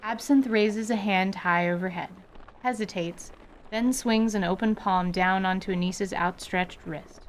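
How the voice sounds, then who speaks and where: A woman's voice: medium pitch, slight background noise, low-quality recording. Woman narrating a scripted fantasy story.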